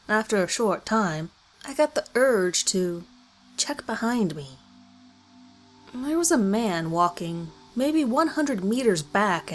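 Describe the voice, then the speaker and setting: A woman reads a scripted first-person horror story in a measured, deliberate way with dramatic pauses. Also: mid-range voice, poor audio. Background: background music.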